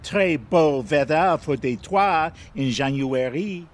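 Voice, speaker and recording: Melodramatic, high-voiced director. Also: male voice, slow pace. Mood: bossy, breezy. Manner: theatrical broken English sprinkled with French. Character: excitable, melodramatic foreign commercial director. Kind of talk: audio comedy drama acting.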